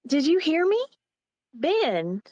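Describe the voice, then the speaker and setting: A woman's voice: fairly high pitch, very animated, slow pace, dark tone, muffled recording. A woman playing a flirty, busy night nurse in a dramatic read of a screenplay. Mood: mildly scolding.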